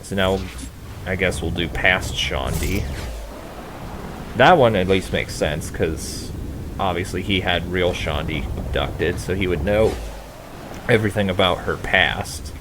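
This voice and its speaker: Deep male voice, rambling gameplay commentator, hesitant casual swearing, noisy recording with game sounds behind. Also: measured pace. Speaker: let's play host.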